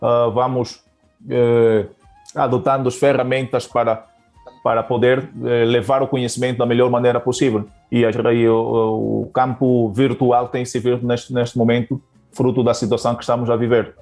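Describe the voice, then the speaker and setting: A man's voice: mid-range voice, flat delivery, moderate pace, a little mellow, soft background music. Hesitant man from Angola, teacher guest. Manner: hesitant, many fillers and restarts. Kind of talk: interview answers.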